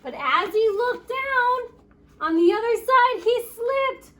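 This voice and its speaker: A woman reading a picture book aloud to children in an expressive, playful storytelling way, with chatty asides. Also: very high voice, takes it slowly. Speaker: story time reader.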